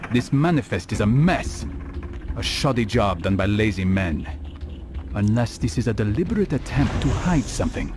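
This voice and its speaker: A man voicing a grave, learned assassin in a video game, speaking in a measured, formal way. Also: on the low side, big pitch swings, noisy background. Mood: stern, businesslike. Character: grave, learned young assassin, stern and reflective.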